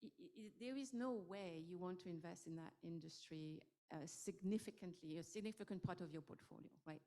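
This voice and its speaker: A woman's voice: fairly low pitch, fairly quick, warm tone. A woman with a French accent speaking as an investor on a panel, hesitant with many fillers and restarts. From France. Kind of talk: panel discussion.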